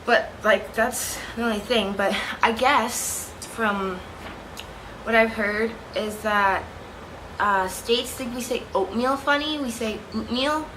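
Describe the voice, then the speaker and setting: A woman's voice: on the high side, takes it slowly. Rambling young Canadian woman over fan noise. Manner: chatty, rambling, self-correcting, giggly. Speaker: vlogger. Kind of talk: casual chat to camera. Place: room at home with a fan running. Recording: steady fan noise behind.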